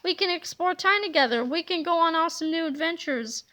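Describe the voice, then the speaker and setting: An adventurous young boy from a storybook, eager and upbeat about new adventures, as a woman reads him. The voice is high and bright. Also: fairly quick.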